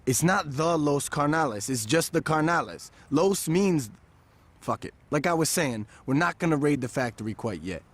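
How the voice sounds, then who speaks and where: An actor playing a shrewd, impatient young street gang lieutenant in a video game, slangy and confident, with an American accent. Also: male voice, fairly high pitch, expressive, normal speed.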